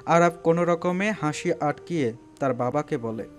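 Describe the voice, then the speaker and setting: A man's voice: a little high, normal speed, faint music behind. A man narrating a scripted romantic story in a dramatic storytelling style.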